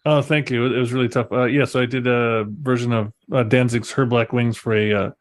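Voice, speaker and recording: A man's voice: mid-range voice, level tone, brisk. A man from the United States, a musician guest on a podcast, talking casually with many fillers.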